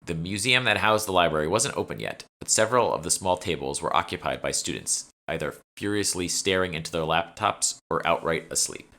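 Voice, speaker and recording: Deep-voiced man narrating an audiobook. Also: fairly quick, few pauses, sharp tone. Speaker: author reading own audiobook. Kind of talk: scripted first-person audiobook narration.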